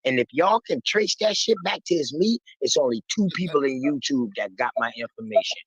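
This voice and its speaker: Man, heated and accusing, husky voice, dry dull lo-fi sound. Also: mid-range voice, lively intonation, brisk, dry recording. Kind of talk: clip of casual talk.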